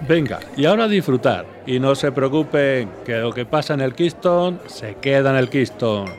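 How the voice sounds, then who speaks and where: An actor playing a worldly café bartender in an audio drama, unhurried, chatty, familiar and knowing. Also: male voice, medium pitch, animated, a little hiss or hum, lightly acted. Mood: jovial, teasing.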